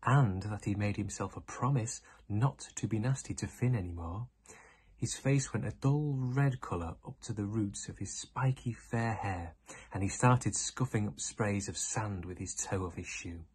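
A man's voice: lowish voice, a little slow, darkish tone, breathy edge. A young man reading a children's story aloud. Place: indoor room.

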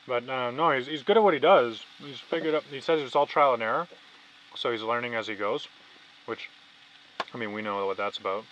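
A man's voice: mid-range voice, fairly quick, a bit bright, lo-fi sound. A young man chatting casually as a vlogger inside a van. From Canada. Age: 20s to early 30s. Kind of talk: casual chat. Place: inside a small camper van, parked. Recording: background noise in a van.

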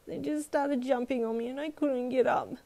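Woman voicing a high-pitched, earnest little girl. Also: big pitch swings, unhurried. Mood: frightened, tearful. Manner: childlike, earnest, emphatic. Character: four-year-old girl, bright, loyal, easily frightened.

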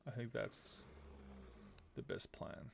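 A man's voice: medium pitch, slow, gravelly, noisy background. A man in his thirties giving casual game commentary, thinking aloud and muttering to himself as he plays. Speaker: game streamer. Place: small room at home, indoors. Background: game music.

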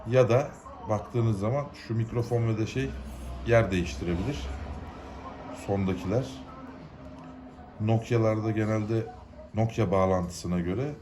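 A man's voice: fairly low pitch, warm tone, slight background noise. A man from Turkey gives an informal, unscripted how-to, explaining step by step in plain, colloquial words. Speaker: hobbyist giving a DIY repair tutorial.

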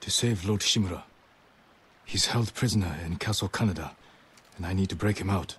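An actor playing a grave, commanding samurai lord in a dramatic video game. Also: male voice, deep voice, a little fast, a bit bright, breathy edge, full character voice. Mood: grave, resolute. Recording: game audio with background ambience.

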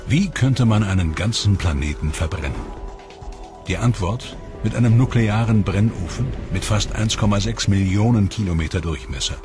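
A man reading scripted documentary narration in a measured, dramatic way. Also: lowish voice. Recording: voice-over with background music.

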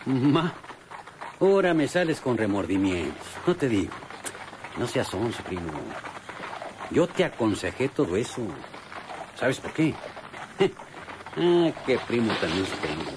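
An actor playing a greedy, scheming rural outlaw in a radio drama, with a drawling, mocking northern Mexican accent. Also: male voice, mid-range voice, big pitch swings, a little slow, long pauses. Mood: sly, cajoling. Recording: noisy old radio drama recording.

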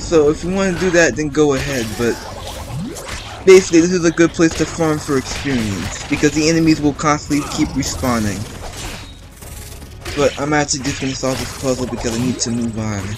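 एक किशोर लड़का, जो गेम खेलते हुए बिखरी, बातूनी कमेंट्री करता है, बार-बार "um" कहकर वाक्य दोबारा शुरू करता है, पीछे गेम का संगीत साफ़ बजता है।. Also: male voice, on the high side, brisk, poor audio. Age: teens. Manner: rambling, chatty, many restarts and ums. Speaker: gameplay commentator. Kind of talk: casual let's-play commentary. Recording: game music playing underneath.

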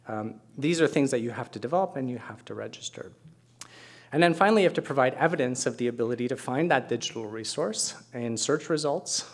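A middle-aged man speaking as a keynote presenter at an academic conference, explaining things with conversational asides. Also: medium pitch. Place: lecture hall at a podium, indoors.

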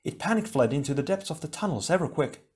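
A cheerful, talkative young air hero, as a man puts him on in a raised, bright voice. His grammar comes out jumbled and broken. He is done as a figure in a read-aloud adventure book. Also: a little high, lively intonation, fast, voice pushed up, natural voice. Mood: chatty.

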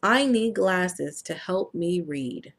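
A woman voicing a young boy named Joe, reading a picture book in a slow, plain way. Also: on the low side, lively intonation, full character voice. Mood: earnest.

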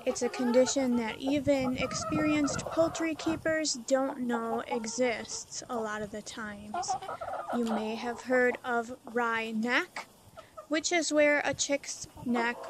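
A woman speaks in a slightly high, unhurried voice, taking her time over each point. She hosts her own channel and explains poultry care gently to viewers. A faint hiss and some hen clucking sit under the voice-over. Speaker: backyard chicken keeper and channel host. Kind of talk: informal explainer over phone footage.

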